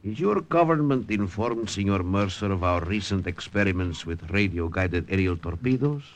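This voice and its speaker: An actor playing an old, shrewd Italian scientist in an old radio drama, formal and measured, with an Italian accent. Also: male voice, low voice, animated. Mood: confident, bargaining. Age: older. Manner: measured, formal, politely insistent. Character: old, tired Italian scientist, shrewd, calm, calculating bargainer. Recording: vintage radio drama recording.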